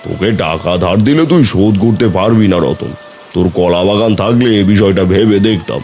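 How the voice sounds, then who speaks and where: Man as low, patronising village headman. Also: a little fast, darkish tone, faint music behind, close to an everyday voice. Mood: dismissive. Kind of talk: cartoon fairy tale acting.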